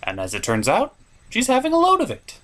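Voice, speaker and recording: A man's voice: high voice, huge pitch swings, fairly quick, a bit bright. A man giving a scripted comedic review as host, with an exasperated, sweary, ranting delivery. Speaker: puppet-show reviewer and host.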